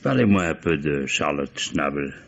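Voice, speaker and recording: An actor playing a politely probing, dogged police commissioner in a vintage French radio mystery. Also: male voice, extremely low pitch, lively intonation, moderate pace, creaky, fairly bright tone, lo-fi sound, full character voice. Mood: curious, insistent. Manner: polite, hesitant, insinuating questioning.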